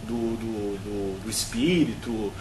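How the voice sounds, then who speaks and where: A man's voice: on the low side, slow, darkish tone, a little hiss or hum. A Brazilian man on a film review panel, talking at length with frequent restarts and tag questions.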